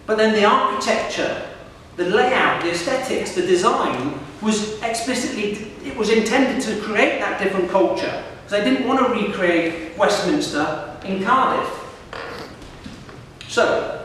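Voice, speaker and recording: A middle-aged male professor giving a lively lecture to students, asking them questions as he goes. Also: high-pitched, measured pace, slight background noise. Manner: lively, engages the audience with questions. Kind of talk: public lecture. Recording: echoey hall recorded from far off.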